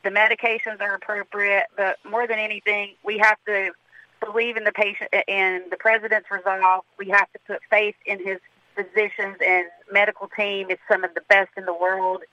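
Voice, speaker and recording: A man's voice: high-pitched, little pitch movement, moderate pace, sharp tone, dry recording. A man in his fifties with a Southern accent, calling a radio show to earnestly explain his hospital work. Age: 50s. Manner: earnest, admiring, explains medical terms plainly. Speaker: caller, cardiac surgery worker. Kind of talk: radio call-in. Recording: muffled phone line.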